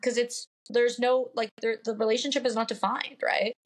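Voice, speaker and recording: A woman's voice: a little high, steady tone, normal speed. Chatty nasal woman in her late twenties. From Pacific Northwest. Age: late 20s. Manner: chatty, filler-heavy, upfront and joking. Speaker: podcast guest. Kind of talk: casual chat.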